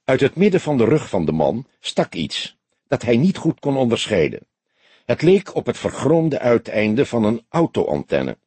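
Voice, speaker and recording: A man's voice: mid-range voice, darkish tone, breathy edge, dry recording. A man narrating a scripted crime story as an audiobook reader.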